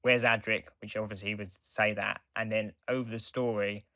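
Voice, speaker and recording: Male podcast co-host, low forceful voice, halting casual explainer, dry close sound. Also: flat delivery, no room sound.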